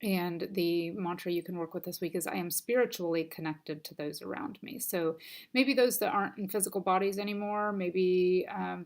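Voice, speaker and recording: Stuffy-voiced woman reading crystal cards. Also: lowish voice. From United States. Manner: gentle, unhurried, frequent ums. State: stuffy and scratchy from allergies. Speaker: crystal and oracle card reader. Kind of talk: casual spiritual card reading.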